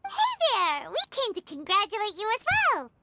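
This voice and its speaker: A woman voicing a tiny, excitable female fairy companion in a video game, blunt and sing-song. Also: very high voice, moderate pace, over loud music, full character voice. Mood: cheerful.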